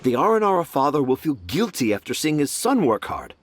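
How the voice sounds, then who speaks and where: A man voicing a gentle, thoughtful father in a video game, encouraging and measured. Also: fairly high pitch, big pitch swings.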